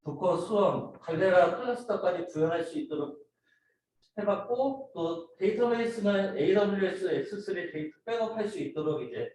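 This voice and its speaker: A man giving an informal, somewhat halting student project presentation, explaining slides and a demo step by step. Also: high-pitched, lively intonation, a little mellow. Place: indoor room, voice picked up from a distance. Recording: very echoey room, distant mic, noisy.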